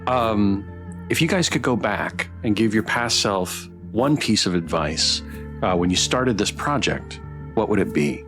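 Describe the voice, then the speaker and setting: Male interviewer over clear background music. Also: fairly low pitch, expressive, measured pace. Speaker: host, interviewer. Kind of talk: casual livestream Q&A hosting.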